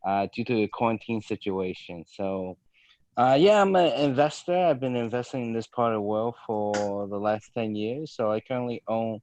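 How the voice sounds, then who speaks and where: A man from Hong Kong introducing himself as a property investor on a video call, brisk and upbeat. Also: on the low side, a little mellow, no echo. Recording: dull, dry video call audio.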